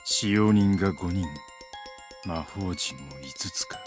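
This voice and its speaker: A man playing a shrewd, casual middle-aged man with rough masculine speech in a Japanese visual novel, music behind him. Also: low voice, normal speed, natural voice. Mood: cool, musing.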